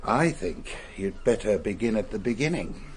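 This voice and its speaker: An actor playing a skeptical police chief. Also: male voice, lowish voice, animated, moderate pace, a little hiss or hum, close to an everyday voice. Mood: teasing. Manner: dry, teasing, skeptical. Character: blunt, skeptical police superintendent, middle-aged. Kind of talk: 1960s radio drama acting.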